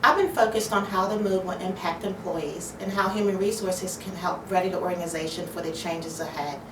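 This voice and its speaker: A woman from human resources giving a clear, orderly, businesslike statement in an interview. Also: fairly low pitch, steady tone, a little fast, distant mic, loud music behind the voice. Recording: roomy, off-mic, noisy background.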